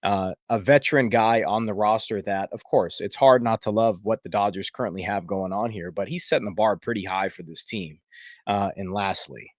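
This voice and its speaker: A man's voice: on the low side, moderate pace, no echo, studio quality. A man with a stuffy, congested voice hosting a casual live sports chat in a rambling, filler-heavy way. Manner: rambling, chatty, many fillers. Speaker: podcast host.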